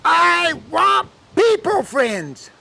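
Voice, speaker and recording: A man storyteller plays a small, lonely girl from a folktale in a high voice with some creak. She is weepy and naive, and quick to be delighted. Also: big pitch swings, pushed and bright, a little hiss or hum, heavily acted. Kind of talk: live oral storytelling.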